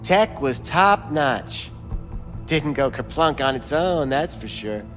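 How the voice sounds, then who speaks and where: An actor voicing a smug, evasive back-alley implant doctor in a video game, with florid, theatrical phrasing. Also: male voice, fairly high pitch, animated, takes it slowly, a bit bright, big-room sound, soft background music. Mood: sly, evasive. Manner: florid, theatrical wording, stammers when pressed.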